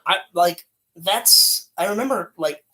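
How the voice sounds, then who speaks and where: A man in his late thirties, co-hosting a casual chat with a loud, energetic, rambling way of talking. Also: sits high, slow. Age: 30s. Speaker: podcast co-host.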